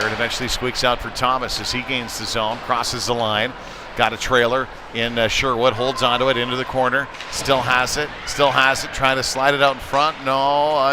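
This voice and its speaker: A man calling live ice hockey play-by-play in a conversational, folksy way, with casual asides between the action. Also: mid-range voice. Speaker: play-by-play commentator. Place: indoor youth hockey arena. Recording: noisy arena with clattering ambience.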